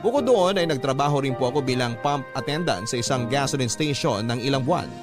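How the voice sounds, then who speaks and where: A man's voice: medium pitch, animated, brisk. A man narrating a scripted letter as a radio host, in a classic storytelling style. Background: background music.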